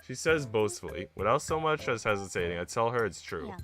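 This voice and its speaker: A man's voice: on the low side, very animated, a little fast. A man streaming, reading a visual novel aloud and chatting casually. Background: music.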